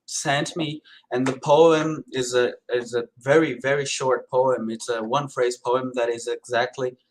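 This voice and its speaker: A Brazilian painter with a Portuguese accent, speaking hesitantly with many fillers in a live-stream interview. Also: male voice, medium pitch, fairly even intonation, unhurried, a little hiss or hum, rough recording. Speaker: painter guest.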